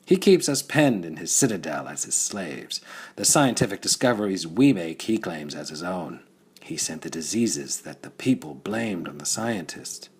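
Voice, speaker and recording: A cold, commanding hierarch in a pulp audiobook, played by a man reading aloud. The voice is low and husky. He speaks with measured menace, like one used to being obeyed. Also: big pitch swings, heavily acted. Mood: menacing. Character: cold, commanding cowled hierarch of a priestly scientist cult.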